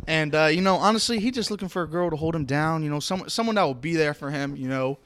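A man's voice: a little high, quick pace, fairly bright tone, dry recording. A man in his twenties, joking and rambling playfully among friends. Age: 20s.

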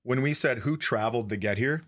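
A man's voice: mid-range voice, fairly quick, a bit bright. A middle-aged man co-hosting a comedy podcast, trading casual jokes with dry, self-deprecating humor. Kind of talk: casual joking banter.

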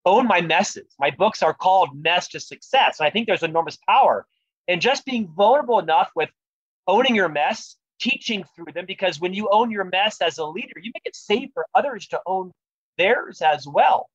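A man with a noticeably high voice and a lifelong stutter talks earnestly and reflectively about leadership as an interview guest. The sound is dry and slightly dull, with little room in it. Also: lively intonation, moderate pace, fairly bright tone, breathy edge, no echo. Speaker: guest, author and leadership speaker. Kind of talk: podcast interview conversation.

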